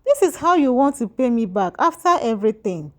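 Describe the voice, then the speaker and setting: A woman's voice: on the high side, big pitch swings, fairly quick. Woman reading as a wronged, plaintive wife. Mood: indignant, wounded. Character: wronged, emotional young wife. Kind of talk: storybook dialogue.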